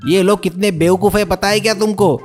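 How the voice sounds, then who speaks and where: A man's voice: high-pitched, steady tone, fairly quick, soft background music, voice pushed up, natural voice. A man voicing a brazen, scheming beggar in a cartoon story, pleading and complaining theatrically. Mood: indignant. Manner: pleading, loud, indignant street patter.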